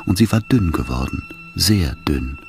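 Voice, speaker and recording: A man narrating a literary radio drama in a measured, solemn manner. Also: low voice, wildly swooping pitch. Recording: orchestral music behind the voice.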